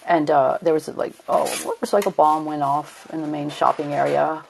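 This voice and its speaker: A woman's voice: low-pitched, level tone, moderate pace, gravelly, slight background noise, low-quality recording. A middle-aged woman, a tour leader, telling rambling anecdotes in a casual chat and laughing along. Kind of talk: casual storytelling.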